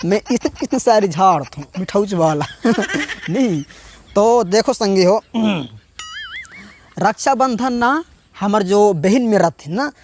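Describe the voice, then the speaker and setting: A male stand-up comedian with a Chhattisgarhi accent and a hoarse throat, chatting to viewers and laughing often between lines. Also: high voice, lively intonation, slow pace, slight background noise. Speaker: stand-up comedian and channel host. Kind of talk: casual stand-up comedy monologue.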